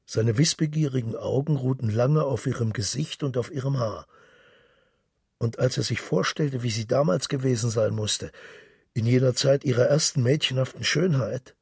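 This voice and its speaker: A man narrating a literary story for radio in a measured, solemn manner. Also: medium pitch, slightly rough, no room sound, clean studio sound.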